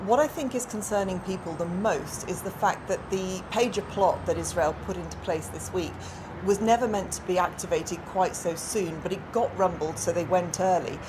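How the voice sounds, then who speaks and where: Woman, lowish voice, live foreign correspondent, noisy link with vehicle ambience. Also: normal speed. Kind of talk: unscripted live news analysis.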